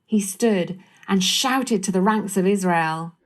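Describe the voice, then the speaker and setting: A woman narrates a Bible story from a script. Her voice sits at an average pitch for a woman, and she reads as the storyteller between the characters' speeches. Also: slow pace.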